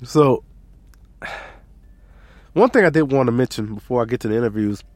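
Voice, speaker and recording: A man's voice: medium pitch, lively intonation, normal speed, a little mellow, no echo. An American man with a stuffy, allergy-congested nose hosts a podcast in a casual, rambling, self-deprecating way. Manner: self-deprecating, chuckles at himself. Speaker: podcast host.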